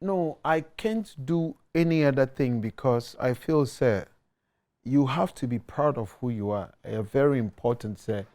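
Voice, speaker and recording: A man's voice: mid-range voice, unhurried, darkish tone. A man in his thirties with a Ghanaian accent, talking earnestly as a guest musician in a TV studio, repeating words and slipping into pidgin. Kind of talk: casual interview talk. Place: tv studio set.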